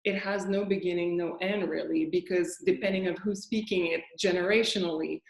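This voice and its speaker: A woman's voice: on the low side, fairly even intonation, brisk, creaky. Reflective woman in an echoey room. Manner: reflective, searching for words. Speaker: curator and guest critic. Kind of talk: casual panel conversation. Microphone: video call.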